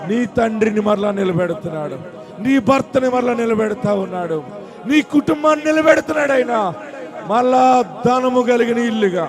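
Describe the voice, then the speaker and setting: High-voiced man preaching fervently. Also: a little fast, in a large hall, a faint crowd. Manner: impassioned, repetitive proclaiming, swinging from hushed to loud. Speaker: pastor. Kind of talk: fervent sermon with prayer.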